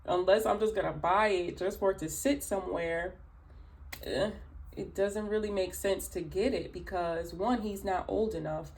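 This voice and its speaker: A woman with a raspy early-morning voice, chatting through a tarot reading with many fillers and asides. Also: medium pitch, moderate pace, a little mellow. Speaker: tarot reader. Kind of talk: casual spoken tarot reading.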